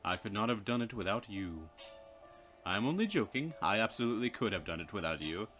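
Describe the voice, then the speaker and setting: Low-voiced man reading game dialogue. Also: expressive, brisk, a bit bright, soft background music. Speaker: co-player reading a character's lines. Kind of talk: casual let's play, reading dialogue aloud.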